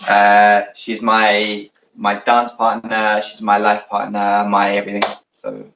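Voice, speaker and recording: A man's voice: on the low side, completely flat delivery, slow pace, background noise. A man from London with a London accent, chatting casually as an interview guest. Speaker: interview guest, dance instructor. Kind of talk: casual chat. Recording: roomy, distant, dull lo-fi audio.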